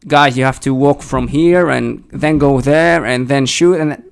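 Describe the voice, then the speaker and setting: A man's voice: mid-range voice, a little slow, creaky, smooth. A young man with a Hebrew accent tinged with British English, co-hosting a casual podcast discussion, thoughtful and hesitant, often restarting his sentences. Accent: Hebrew-accented English with a slight British tinge. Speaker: podcast co-host.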